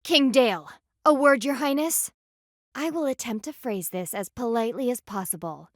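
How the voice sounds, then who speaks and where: A woman's voice: a little high, normal speed, dry recording, clean studio sound, lightly acted. A woman voicing a stern, proud panda warrior in a video game, speaking formally and with haughty, precise diction. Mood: indignant, stern. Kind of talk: scripted video game voice acting.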